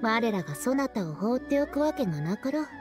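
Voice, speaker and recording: Lofty, fairly high-voiced yokai lady. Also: big pitch swings, brisk. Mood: resolute. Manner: formal, archaic, lofty. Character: dignified female yokai with archaic, lofty speech. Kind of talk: Japanese video game voice acting. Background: music.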